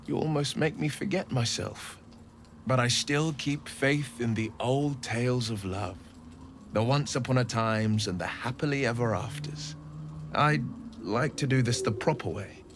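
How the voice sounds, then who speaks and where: A man's voice: mid-range voice, a little hiss or hum. An actor playing a gallant, romantic young swordsman in a video game, courtly, poetic and earnest in manner. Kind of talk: dramatic video game voice acting.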